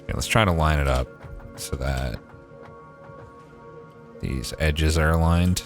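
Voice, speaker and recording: A man's voice: very deep voice, takes it slowly, soft background music. A young Canadian man with a Maritime accent, thinking aloud and swearing casually as he streams a game. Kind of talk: casual gameplay livestream chat.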